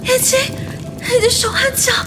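Super high sweet young taiwanese girl, flustered n stammering, radio romance, music behind. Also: flat delivery. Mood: pained, frightened. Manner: hesitant, stammering, breathy pauses. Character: flustered sweet young woman, tormented by prophetic dreams. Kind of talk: Mandarin romantic radio drama acting.

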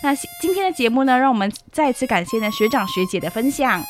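A young woman hosting a campus radio interview, often ending her phrases on soft particles, recorded in a studio. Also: a little high, animated, quick pace, music in the background. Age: young adult. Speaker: student radio interviewer and host.

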